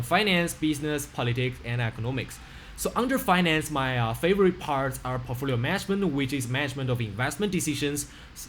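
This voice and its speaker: A man's voice: medium pitch, a little hiss or hum. A teenage boy from China with a Chinese accent, giving an earnest self-introduction over a webcam from a small room, with many fillers and restarts. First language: Mandarin. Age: teens. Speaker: student. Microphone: webcam on a video call.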